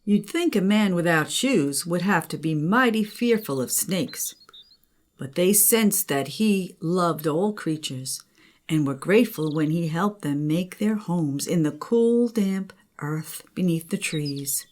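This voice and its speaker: A woman's voice: lowish voice, animated, slow, darkish tone. An older woman, a grandmother, narrating a children's story.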